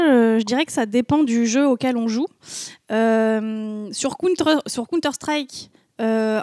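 Young woman, frank casual gamer talk, slightly high voice, dry sound. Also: a little mellow, no room sound. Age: young adult. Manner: frank, casual, laughs at herself. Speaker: panel guest, gamer. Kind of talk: casual panel discussion.

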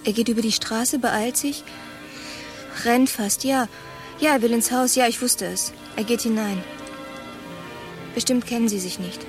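A woman's voice: on the high side, a little slow, pauses often, over music, natural voice. A woman playing a nosy, judgmental onlooker in a radio play, reporting what she spies in short, insinuating phrases. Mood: mounting fascination.

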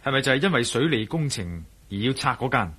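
A man playing an earnest, fair-minded adventurer in a radio drama, probing and gently admonishing. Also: mid-range voice, very animated, fast, slightly rough. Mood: curious. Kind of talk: Cantonese radio drama acting.

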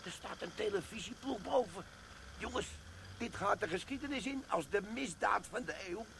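A jovial, lazy old army sergeant. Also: male voice, high voice, lively intonation, moderate pace, vocal fry, background noise. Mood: gleeful. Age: older. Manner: chatty and self-satisfied, grumbles about work. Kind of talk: radio drama acting.

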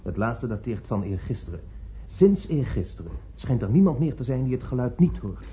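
A man's voice: mid-range voice, very animated, normal speed, warm tone, close to an everyday voice. A man playing a hesitant scientific assistant in a radio drama, reporting formally and apologetically to his superior. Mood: apologetic. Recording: muffled, slightly noisy old radio recording.

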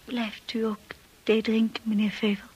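A timid young bride in a radio play. Her voice is fairly high and very breathy, hesitant and earnest, with an insecure, apologetic way of speaking. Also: monotone, moderate pace, a little mellow, poor audio. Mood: polite, uneasy. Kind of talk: radio drama acting.

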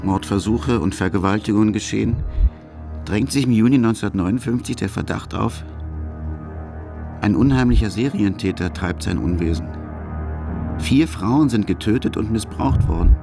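A man's voice: fairly low pitch, moderate pace, vocal fry, over music. A man reading scripted narration for a radio documentary.

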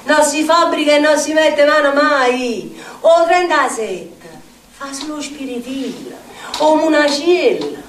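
An actress playing a volatile, bitter Neapolitan slum dweller in a theatrical dialect monologue, chanting repeated phrases and swinging between mutters and shouts. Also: female voice, high-pitched, lively intonation, slow, a little hiss or hum. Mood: incantatory, scornful. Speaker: stage actress. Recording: roomy, distant stage sound with music.

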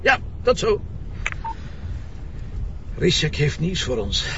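Animated, stubborn Flemish police commissioner. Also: male voice, on the high side, slow pace, creaky, full character voice. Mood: brisk, familiar. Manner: blunt, sarcastic, insistent. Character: stubborn, sardonic male police commissioner, blunt and impatient. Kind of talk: broadcast crime drama acting. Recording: dull sound with background hum.

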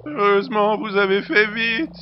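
A man's voice: high voice, normal speed, highs cut off, slight background noise, natural voice. A man playing an effusive, fussy factory director who repeats himself and rambles in a science-fiction audio drama. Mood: anxious relief. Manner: effusive, repetitive, long-winded.

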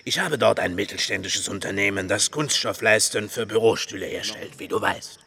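Lovesick bear, piercingly bright voice. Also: male voice, fairly low pitch, gravelly. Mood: earnest proposal. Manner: earnest, slow declarations, breaks into screaming. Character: lovesick bear businessman, tender, pleading, then hysterically wounded. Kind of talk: German radio drama acting.

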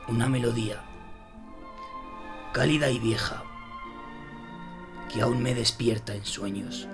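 An actor playing a haunted sea captain who tells his ghost story in a brooding, deliberate, suspenseful way for an audio drama. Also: medium pitch, steady tone. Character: haunted old sea captain recounting a ghost ship tale. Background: prominent music.

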